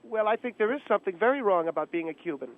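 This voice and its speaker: Brief: man voicing an abrasive, provocative middle-aged woman, high and quick, phone-line sound. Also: expressive. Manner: snippy, condescending, provocative. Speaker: guest.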